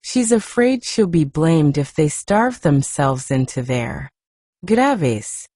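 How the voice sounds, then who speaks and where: A woman's voice: deep voice, big pitch swings, takes it slowly, dark tone, no room sound, clean studio sound, heavily acted. A woman voicing an exasperated, plain-spoken housekeeper with dialect in a storybook reading. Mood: indignant, exasperated. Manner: complaining, dialect-laden.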